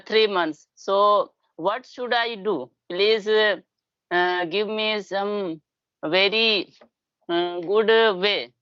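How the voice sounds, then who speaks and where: A woman's voice: medium pitch, a bit bright, clean tone, no echo, muffled recording. A woman learning English, speaking hesitantly on a video call, full of uhs and restarts. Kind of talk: casual conversation.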